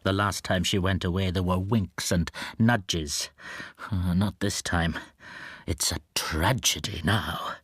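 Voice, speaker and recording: A man's voice: on the low side, a bit bright, dry recording, clean studio sound, full character voice. A male actor playing an anxious, timid shopkeeper in a radio drama, speaking in a hesitant, confiding and introspective way. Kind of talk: radio drama monologue and dialogue.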